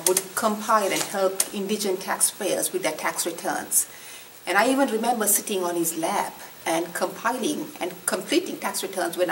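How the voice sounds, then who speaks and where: Earnest woman from Sri Lanka, low-pitched. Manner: earnest, heartfelt, soft at times. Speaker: award recipient interviewee. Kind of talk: personal interview recollection. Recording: distant mic, faint room noise.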